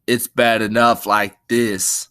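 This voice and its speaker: Storybook role: simple-minded, childlike big man played by a man. Slow, earnest, pleading rural talk; eager, easily frightened. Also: lowish voice, little pitch movement, sharp tone, smooth, no echo, clean studio sound. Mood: fearful, regretful.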